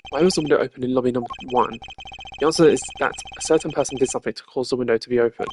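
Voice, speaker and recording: Self-assured male game prosecutor, as a streamer plays him: formal, deliberate, medium pitch, over music. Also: lightly acted.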